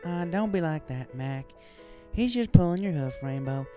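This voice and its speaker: A man's voice: fairly high pitch, expressive, normal speed, warm tone, faint music behind, voice pushed up, close to an everyday voice. A man voicing a hearty female farm pony who gives folksy, encouraging instruction in an audiobook story. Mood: friendly, bossy.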